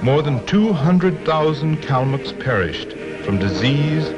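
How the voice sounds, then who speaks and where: A man giving scripted documentary narration in a measured, expressive way. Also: on the high side. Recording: dull old film soundtrack with music.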